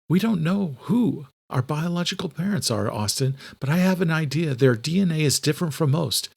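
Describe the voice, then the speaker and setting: Guy doing a smart earnest woman in an audiobook, higher voice, kinda insistent explaining stuff. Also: animated, moderate pace, vocal fry, breathy edge, heavily acted. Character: earnest adult woman, quick-thinking twin sister. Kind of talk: audiobook character dialogue.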